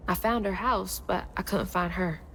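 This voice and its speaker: A woman's voice: mid-range voice, normal speed, sharp tone, slight background noise. A woman playing an earnest, caring young heroine in a video game, acted naturalistically. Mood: gentle, concerned. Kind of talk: naturalistic game drama acting. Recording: music behind the dialogue.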